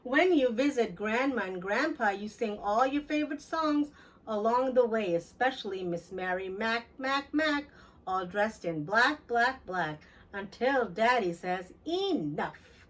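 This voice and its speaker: Woman, children's read-aloud host, higher-pitched voice, very unhurried pace, expressive storybook narration, a bit distant from mic. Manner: expressive, deliberate storytelling to young listeners.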